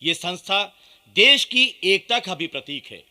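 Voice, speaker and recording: An actor playing a proud, earnest Indian nationalist leader giving a formal, rousing speech in a radio play. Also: male voice, high voice, expressive, measured pace, sharp tone, exaggerated voice. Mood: proud, hopeful. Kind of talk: Hindi radio play oratory.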